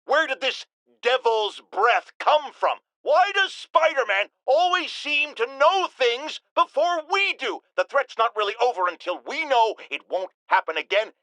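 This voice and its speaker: A man's voice: very high voice, big pitch swings, a little slow, bright, forceful tone, dry recording, full character voice. A man playing a pompous, paranoid middle-aged radio talk show host in a video game, ranting theatrically with indignant emphasis. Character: bombastic, paranoid middle-aged male radio shock jock.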